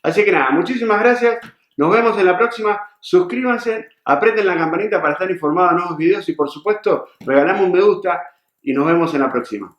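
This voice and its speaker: A man's voice: high-pitched, animated, quick pace, roomy sound. A man hosting a casual how-to vlog, explaining things in simple terms and repeating words for emphasis. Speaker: YouTube host of a motorcycle channel.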